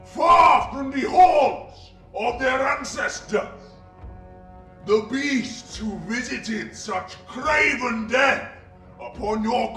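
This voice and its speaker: Man as a forceful, savage fantasy warrior. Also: very high-pitched, roomy sound, heavily acted. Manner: very slow, declamatory, forceful. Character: bellowing male fantasy warrior, savage and taunting. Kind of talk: dramatic fantasy character acting.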